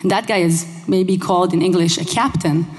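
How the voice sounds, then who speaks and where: A young woman whose first language is Hebrew, giving a lively conference talk on a headset mic in a large hall, engaging the audience with questions. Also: on the low side, brisk. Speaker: conference speaker. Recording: long hall reverb.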